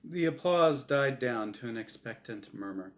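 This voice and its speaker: A man's voice: medium pitch, lively intonation, normal speed. A man narrating a scripted short story as a dramatic reading by its author.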